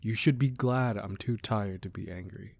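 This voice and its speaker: A man playing a weary, menacing crime boss with a tender side, in a controlled, deliberate ASMR roleplay delivery. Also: on the low side, creaky, darkish tone, dry recording. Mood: gentle, weary. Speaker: audio roleplay voice actor. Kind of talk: ASMR roleplay acting, close and dramatic.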